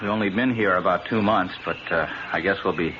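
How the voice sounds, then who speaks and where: An actor playing a protective, eager brother, folksy, polite and a little flustered, in a radio drama. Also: male voice, low-pitched, a little fast, lightly acted. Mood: friendly, awkward. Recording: muffled radio drama recording with loud noise.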